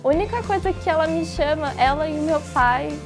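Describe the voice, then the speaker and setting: A woman's voice: high voice, a little fast. A woman giving casual, somewhat hesitant interview answers. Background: music.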